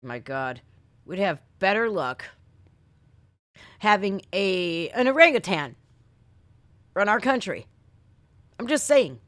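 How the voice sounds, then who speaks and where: A woman's voice: medium pitch, big pitch swings, slow, pauses often, a bit bright, dry recording. Blunt American woman with a dry, close sound. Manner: blunt, sweary, folksy slang. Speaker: reaction video host. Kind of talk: casual livestream rant and chat.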